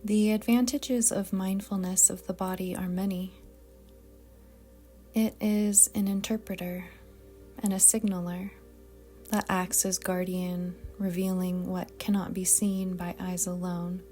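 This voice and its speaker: Woman, meditation guide, very slow delivery, slightly low pitch, soft breathy near-whisper, calm, gentle. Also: faint music behind. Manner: calm, soothing, gentle, deliberate. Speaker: yoga nidra meditation guide.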